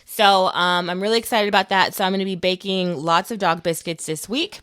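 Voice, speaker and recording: A woman's voice: fairly low pitch, fairly quick, clean tone, dry recording, studio quality. A woman hosting a podcast in a casual, informal storytelling way, acting out quotes as she goes. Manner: animated quoting, swearing, informal. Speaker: podcast host.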